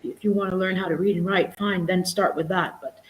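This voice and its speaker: A woman's voice: lowish voice, steady tone, fairly quick, low-quality recording. A middle-aged woman and English teacher, chatting as a guest on a live stream over a video call, full of restarts and "you know". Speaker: guest, English teacher and exam prep tutor. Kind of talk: casual live-stream conversation.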